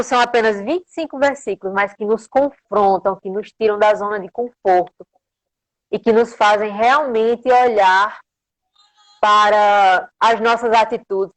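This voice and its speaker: A woman's voice: mid-range voice, poor audio. A woman with a strong accent from the rural interior of Paraíba in northeastern Brazil, preaching devotionally and reverently on a livestream as a guest. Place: remote social media livestream.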